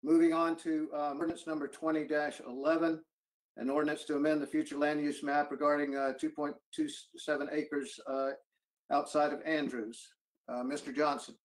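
A man chairing a formal meeting over a video call, speaking haltingly and hesitantly. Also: a little high, little pitch movement, poor audio. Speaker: council chairman running a meeting. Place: indoors at home or office on a video call.

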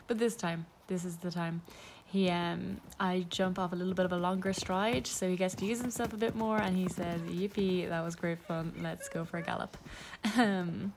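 Chatty young woman with a gravelly edge. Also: on the low side, noisy background. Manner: casual, chatty, many ums and small laughs. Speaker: equestrian vlogger. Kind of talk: voice-over talking through a ride.

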